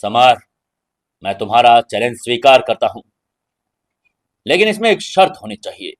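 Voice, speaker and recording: A man's voice: medium pitch, very animated, moderate pace, pitched below the performer's own voice. A middle-aged male medical chief played by a narrator in an audio story. He is arrogant and stern, delivering his terms coldly. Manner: cold, stern.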